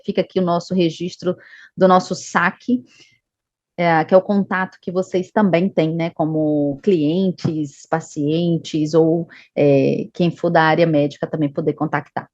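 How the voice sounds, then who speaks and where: A woman's voice: fairly low pitch, level tone, moderate pace, a little mellow, no echo, highs cut off. A woman from Salvador with a Northeastern Brazilian Bahia accent, giving an online health presentation on a video call. She explains patiently and keeps adding the tag question "né". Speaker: medical education manager presenting.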